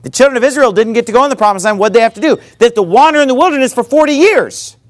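A man in his thirties preaching an energetic, conversational sermon and reading scripture aloud. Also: extremely high pitch, very fast. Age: 30s.

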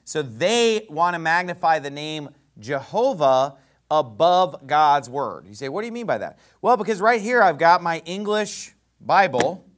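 A pastor preaching an emphatic sermon in a church, asking the congregation rhetorical questions. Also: male voice, a little high, sing-song, measured pace, a bit bright.

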